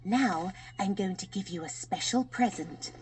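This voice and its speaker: A woman voicing a kindly little old lady who is secretly a fairy, gentle and soothing, in a children's story. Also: medium pitch, a little slow, close to an everyday voice. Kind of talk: children's fairy tale audiobook.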